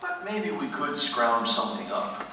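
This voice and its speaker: Guy playing a melancholy dead writer on a radio play, formal and theatrical, pitch all over, very echoey. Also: sing-song, a little slow, sharp tone, full character voice. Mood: bewildered, resigned. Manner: theatrical, formal old-fashioned phrasing. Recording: echoey hall from far off, faint hum.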